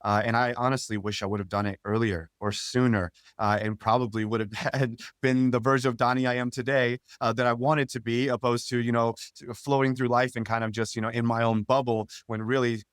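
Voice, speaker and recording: A man's voice: medium pitch, little pitch movement, brisk, few pauses, clear, resonant tone, no echo, clean studio sound. Easygoing man hosting. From United States. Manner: easygoing, reflective, many "you know" fillers. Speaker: podcast host. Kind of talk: remote conversational interview.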